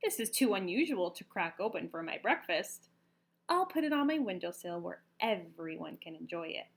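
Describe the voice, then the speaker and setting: A woman voicing a kindly, excitable farm woman from a storybook, gushing and exclamatory. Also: fairly low pitch, moderate pace, vocal fry. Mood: delighted.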